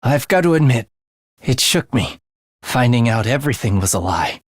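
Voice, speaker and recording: Wildly expressive, morbid young assassin. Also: male voice, medium pitch, normal speed, no room sound, clean studio sound. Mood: bitter, morbid. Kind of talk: English video game voice acting.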